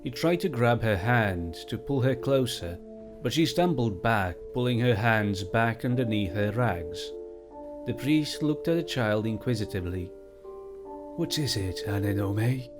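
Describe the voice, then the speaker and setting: A man reading a fantasy story aloud in a measured, even storytelling voice. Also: medium pitch, over music. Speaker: story reader.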